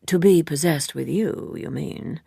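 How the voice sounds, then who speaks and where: A woman voicing a polite, self-possessed, evasive gentleman in an audiobook, with a measured, formal and courteous manner. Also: deep voice, gravelly, breathy edge, dry recording, clean studio sound, heavily acted. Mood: cool, restrained. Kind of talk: audiobook character dialogue.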